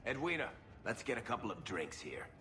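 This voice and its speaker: An actor playing a wry, weary dwarf adventurer in a video game, talking conversationally. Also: male voice, on the low side, sing-song, a little fast, sharp tone. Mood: wry, relaxed. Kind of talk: video game cutscene acting.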